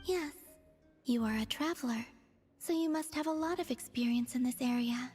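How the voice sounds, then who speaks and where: A gentle young woman from the moon, played by a voice actress, speaks in a soft, breathy and slightly high voice. She is wistful and measured, with a quiet deadpan edge. It is a video game character heard over music. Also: big-room sound, close to an everyday voice. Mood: gentle, reflective.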